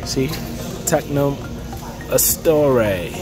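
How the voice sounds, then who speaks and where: A man talks his viewers through an aircraft in an easygoing, chatty way, dropping in plenty of "okay" and "uh". He is filming on a handheld phone inside a large hangar at an expo. Music plays clearly underneath the voice. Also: mid-range voice, drawn-out words. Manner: relaxed, chatty, lots of "okay" and "uh". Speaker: aviation vlogger. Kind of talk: casual walkaround tour. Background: music and crowd chatter.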